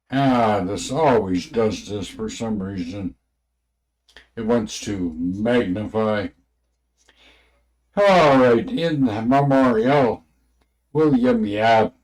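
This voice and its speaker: Slow, halting man reading aloud. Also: mid-range voice, darkish tone, rough recording. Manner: halting, stumbling over words, short broken phrases. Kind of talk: unrehearsed reading aloud with asides.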